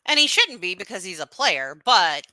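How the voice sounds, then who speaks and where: A woman co-hosts a sports podcast with a bright voice that moves freely in pitch, recorded dry and close. She speaks frankly, backing her partner up or pushing back to argue the other side. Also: big pitch swings, normal speed, no room sound. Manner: frank, agreeing, plays devil's advocate. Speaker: podcast co-host. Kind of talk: casual chat.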